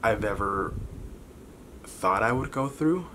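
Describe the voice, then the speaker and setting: A man in his twenties speaks in a fairly low voice, slowly and with frequent pauses. He talks candidly and personally to the camera, recorded in a bedroom with clear background noise. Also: expressive, pushed and bright. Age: 20s. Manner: halting, pausing often between short phrases. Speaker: vlogger. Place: bedroom, indoors. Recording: clearly noisy room recording.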